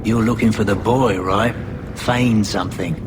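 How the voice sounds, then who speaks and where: An actor playing a guarded, matter-of-fact street informant in a video game. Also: male voice, on the low side, steady tone, unhurried, natural voice. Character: shady adult man, a mercenary informant who aids runaway mages. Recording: loud game ambience noise. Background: loud ambient game noise.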